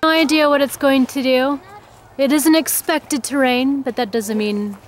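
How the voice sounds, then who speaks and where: A woman's voice: high-pitched, a little slow, over loud music. A woman vlogging casually outdoors, narrating a family camping trip into a handheld camera. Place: outdoors at a campsite.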